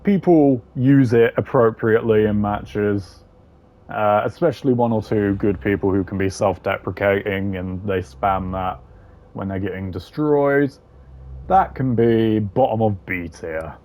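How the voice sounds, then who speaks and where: A man's voice: fairly low pitch, a little slow, darkish tone. A man hosting a gaming video with casual, wry, sardonic commentary and some swearing. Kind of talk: casual tier-list commentary.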